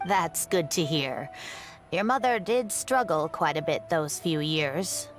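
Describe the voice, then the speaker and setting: An older woman scholar in a video game. Her voice sits very low for a woman. She speaks in measured, reflective phrases with a composed, wry edge, over faint music. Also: animated. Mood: fond, reflective. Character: elderly woman scholar, former teacher, composed and reminiscing. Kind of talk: scripted video game dialogue.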